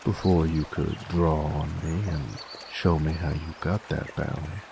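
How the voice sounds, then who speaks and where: A man playing a cocky, dominant werewolf bounty hunter in an ASMR roleplay, with a gravelly cowboy drawl and a teasing, folksy manner. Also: unhurried, background noise, exaggerated voice. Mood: grim, taunting. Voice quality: deep, gravelly. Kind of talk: ASMR audio roleplay, in-character monologue. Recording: steady trickling stream ambience behind. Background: running water, outdoor nature ambience.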